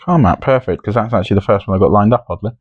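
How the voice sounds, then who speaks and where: A man's voice: on the low side, racing, breathy edge, dull audio. A man hosting a podcast game show, chatting in casual comic banter.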